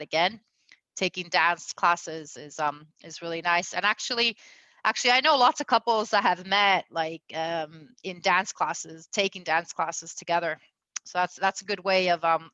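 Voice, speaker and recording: Bright chatty woman coaching on relationships. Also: on the low side, no echo, highs cut off. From Venezuela. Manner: chatty, lots of fillers, laughs often. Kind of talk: casual advice talk.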